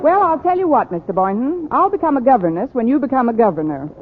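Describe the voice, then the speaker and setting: An actress playing a wry, wisecracking schoolteacher in a radio sitcom, with dry, sardonic delivery. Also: female voice, fairly high pitch, very animated, fast talker, crowd noise. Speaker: radio comedy actor. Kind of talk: 1940s-50s radio sitcom acting. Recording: lo-fi noisy radio recording, studio audience.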